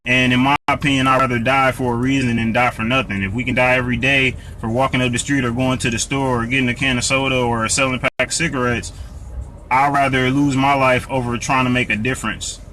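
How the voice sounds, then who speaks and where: A man's voice: medium pitch, little pitch movement, fast, bright, forceful tone, slight background noise, low-quality recording. An American man hosting a live Q&A, answering viewer comments in an earnest, preachy way, often restarting and repeating words. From United States. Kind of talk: live Q&A talk.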